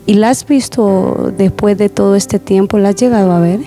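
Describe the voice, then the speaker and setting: Mellow-voiced woman hosting a church program. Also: medium pitch, steady tone, normal speed, faint music behind. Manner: warm pastoral, repeats words, many affirming murmurs.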